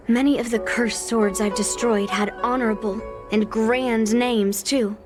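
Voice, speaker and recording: High-voiced, slow-speaking young swordswoman, voiced by an actress. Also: natural voice. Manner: measured, solemn, dramatic pauses. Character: earnest, resolute young swordswoman haunted by childhood trauma. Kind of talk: dramatic video game story dialogue.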